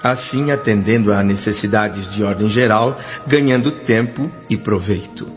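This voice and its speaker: A man's voice: on the low side, lightly acted. An actor with a Brazilian accent playing an earnest, humble male doctor in an audio drama, speaking formally and politely. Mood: calm narrating. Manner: formal, polite, measured diction. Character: André, earnest humble male doctor spirit, newly arrived. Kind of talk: audio drama narration and dialogue. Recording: dull lo-fi audio with music bed.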